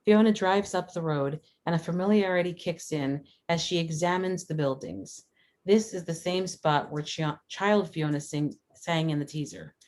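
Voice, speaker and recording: A woman's voice: fairly low pitch, moderate pace, darkish tone. A woman reading scripted stage directions as narrator at a screenplay table read.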